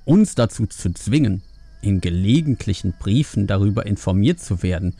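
Man voicing an angry, gravelly pandaren father. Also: lowish voice, expressive, moderate pace, warm tone, no echo. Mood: bitter, reproachful. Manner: vehement, snappish, scornful. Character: angry, stubborn, overprotective middle-aged male pandaren father.

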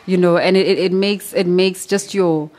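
A woman's voice: fairly low pitch, steady tone, moderate pace, darkish tone. A young woman, a clinic founder answering interview questions in a podcast studio, explaining with everyday examples and emphasis. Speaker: nutrition clinic founder, guest. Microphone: studio mic on boom arm.

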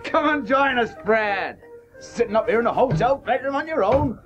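An actor playing a brash, hearty, commanding English champion cricketer in a radio drama. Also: male voice, very high-pitched, animated, moderate pace, music in the background. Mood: jovial. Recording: dull restored old radio broadcast, noisy background.

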